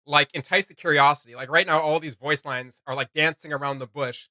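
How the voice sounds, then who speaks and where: A man chats casually as a reaction host. His voice is bright and a little high, recorded dry and close. He rambles excitedly in loose slang. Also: brisk, no room sound.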